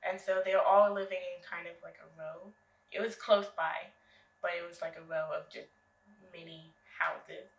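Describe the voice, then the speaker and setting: A young American woman talking as a guest, relaxed and halting with many fillers. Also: fairly low pitch, reverberant, far from the mic, poor audio. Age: young adult. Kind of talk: casual interview.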